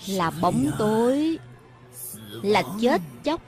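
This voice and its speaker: Very loud music surrounds a menacing cartoon ruler, voiced by a woman. The delivery is slow and solemn, drawing out each phrase with hypnotic intent. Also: a little high, animated. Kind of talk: cartoon voice-over dub. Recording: loud music under the voice-over.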